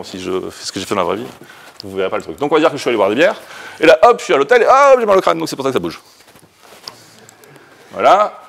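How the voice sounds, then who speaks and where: A man with a French accent gives an informal tech presentation in a lecture room. His voice sits at a medium pitch. He talks like a conference speaker walking people through a demo, joking at his own expense. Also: creaky. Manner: informal, jokey, self-deprecating.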